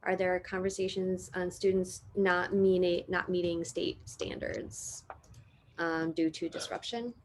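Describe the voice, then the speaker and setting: A woman's voice: fairly low pitch, fairly even intonation, a little slow, a little hiss or hum. A woman moderating a meeting, reading out questions from parents.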